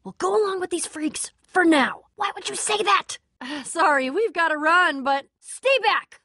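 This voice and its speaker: A woman playing a feisty, sardonic young warrior in a video game, with a wry, expressive delivery. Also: very high-pitched, moderate pace, no room sound, studio quality, heavily acted. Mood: wary. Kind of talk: animated game voice acting.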